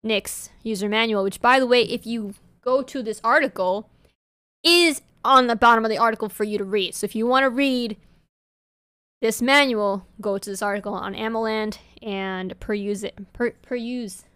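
A woman co-hosts a casual podcast chat, speaking English as a second language. Her voice is smooth and clear, recorded close and dry. She talks in an animated, rambling way, full of fillers. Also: fairly high pitch, moderate pace, no echo. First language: not English. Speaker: podcast co-host.

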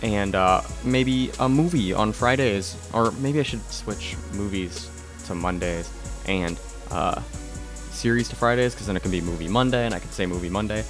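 Excitable young man ranting on a podcast. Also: on the low side, normal speed, over music. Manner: excitable, rambling, swears, laughs often. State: tired voice. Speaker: podcast host. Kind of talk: casual podcast rant. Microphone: close to the mic.

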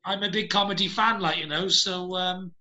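A man's voice: high voice, steady tone, normal speed, pushed and bright. A Welsh man hosting a casual chat over a video call, rambling with lots of fillers and restarts. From Wales. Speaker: podcast host.

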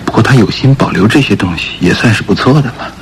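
A man acting in a radio drama, playing a suave, shrewd gentleman thief who muses theatrically. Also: lowish voice, fast, vocal fry, a little hiss or hum. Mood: musing, intrigued. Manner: theatrical, musing aloud. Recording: radio play with loud music bed.

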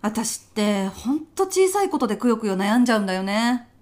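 High-pitched, bossy, fretful office senior played by a woman. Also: very animated, a little fast, a little mellow. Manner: blunt, pushy, rough-mouthed when challenged. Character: bossy, fretful female senior office worker with petty worries. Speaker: voice actor in a comedy radio drama. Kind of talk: short comedic radio drama.

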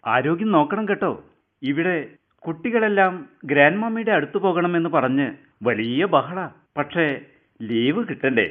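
A busy grown son abroad calling home in a radio drama. He rushes through his words in a lively, rather high voice, heard thin and tinny over a phone line. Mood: hurried. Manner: hurried, brushing off. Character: busy son abroad on a call, hurried and dismissive. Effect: phone call.

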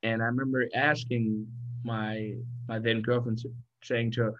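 A man from Liberia talking as an interview guest, telling his story in a thoughtful, deliberate way. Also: medium pitch, flat delivery, lo-fi sound. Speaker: guest, founder of a financial firm.

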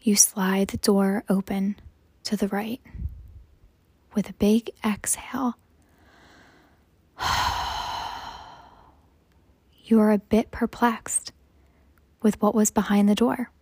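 Slow, breathy woman guiding meditation. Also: mid-range voice, little pitch movement, dry recording. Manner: hushed, soothing, measured delivery with long pauses. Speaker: guided meditation host. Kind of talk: slow guided meditation narration.

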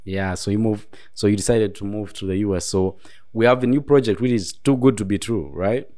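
A man's voice: fairly low pitch, a little fast, warm tone. A man hosting a casual interview, restating his points as he goes. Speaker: interview show host.

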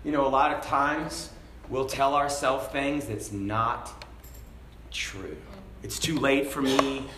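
A man preaching a sermon to a congregation, talking casually and then building to loud, fervent shouts. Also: mid-range voice, steady tone, slow pace, slight background noise. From Hong Kong. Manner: conversational preaching, rising to shouts. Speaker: pastor. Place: church sanctuary. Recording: echoey church room.

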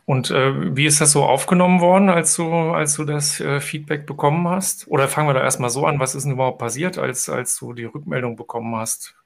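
A middle-aged man hosting a podcast with casual questions that he often rephrases haltingly as he goes. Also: a little high, steady tone, creaky. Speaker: podcast host and interviewer. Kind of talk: casual chat interview.

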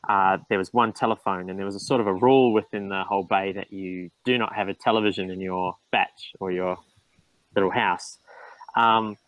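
Kiwi guy chatting in an interview, lots of ums, deepish voice, dead dry sound. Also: fairly even intonation, moderate pace, dry recording, low-quality recording. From New Zealand. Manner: hesitant, restarts with many ums. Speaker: guest. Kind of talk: casual interview talk.

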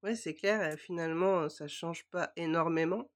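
A woman co-hosting a casual podcast, friendly and quick to laugh, her voice stuffy from a cold. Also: lowish voice. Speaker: podcast co-host.

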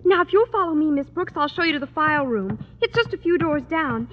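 A woman's voice: high voice, animated, fairly quick. An American actress playing a perky, eager teenage girl with slangy chatter in 1950s radio comedy. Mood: chirpy, eager. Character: perky, chirpy teenage girl, principal's daughter. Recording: muffled old radio with faint noise.

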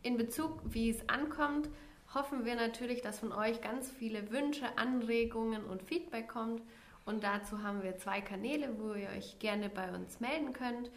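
A woman in her twenties co-hosting a casual podcast, speaking in a bright, organized way. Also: fairly high pitch, moderate pace. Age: 20s. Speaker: podcast co-host. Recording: echoey room.

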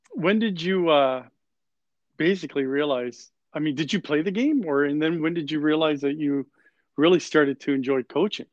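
A man with a slightly high voice hosts a podcast in an effusive, conversational way. He halts and repeats phrases as he goes. The recording is very dry and somewhat dull. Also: dry recording. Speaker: podcast host.

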